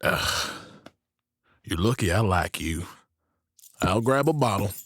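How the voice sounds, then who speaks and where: An actor playing a gruff but kindly barkeep in an audio drama, wry and patient as he listens. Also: fairly low pitch, animated, takes it slowly, a bit bright, no echo, studio quality, full character voice. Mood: concerned, grudging. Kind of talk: dramatic dialogue in fiction podcast.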